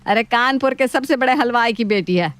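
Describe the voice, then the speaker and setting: A woman's voice: a little high, normal speed, fairly bright tone, clean tone, lightly acted. A woman voicing a gluttonous, bossy mother-in-law in a cartoon story, dramatic, whiny and wheedling. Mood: smug, bossy. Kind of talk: animated Hindi moral-story cartoon.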